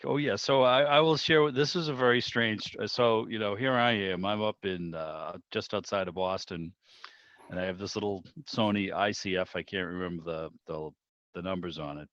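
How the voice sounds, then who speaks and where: A middle-aged man hosting a club meeting by video call, chatting casually and telling rambling, animated anecdotes over lo-fi audio. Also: sits low, normal speed, dry recording. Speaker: club meeting host, interviewer.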